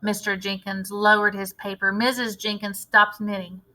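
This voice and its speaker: A woman's voice: medium pitch, flat delivery, moderate pace, pushed and bright, poor audio. A woman narrating a children's novel aloud as a teacher would for a class.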